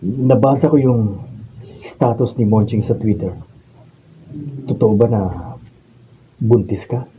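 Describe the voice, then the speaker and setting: A man acting as ardent lover, very mellow voice. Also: mid-range voice, slow, pauses often, dull audio, close to an everyday voice. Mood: pleading. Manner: melodramatic, earnest. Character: ardent, defiant, pleading male lover. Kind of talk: Filipino radio drama acting. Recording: faint background noise.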